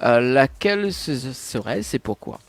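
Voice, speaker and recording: A man co-hosting a studio talk show, asking a guest quick quiz-style questions in a relaxed, slightly hesitant way. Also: medium pitch, vocal fry, faint music behind. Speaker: show co-host. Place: pop-up studio.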